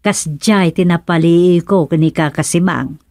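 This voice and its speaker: A woman's voice: fairly low pitch, fairly even intonation, unhurried, a little mellow, no room sound, studio quality. An actress playing an exasperated, teasing woman who chides the elderly in a radio comedy drama. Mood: chiding.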